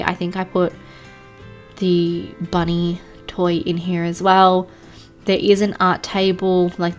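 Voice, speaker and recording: A woman talks through a relaxed, rambling voice-over, her voice thick and nasal from a blocked nose. Her pitch sits a little low, and she fills the gaps with ums and likes. Quiet music plays underneath. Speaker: game build creator. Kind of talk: casual voice-over commentary on a game build. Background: soft background music.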